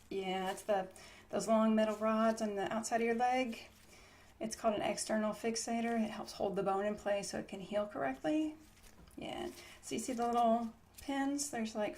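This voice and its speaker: Higher-voiced woman, ASMR nurse, hissy recording. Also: a little mellow. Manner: casual, reassuring bedside explaining. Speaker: ASMR creator playing a trauma nurse. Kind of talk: ASMR medical roleplay, personal attention.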